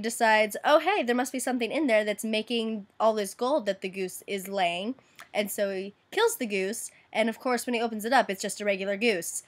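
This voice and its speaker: A woman with a fairly high-pitched voice tells stories as a vlogger would. Her delivery is casual and chatty, full of informal slang and wry asides.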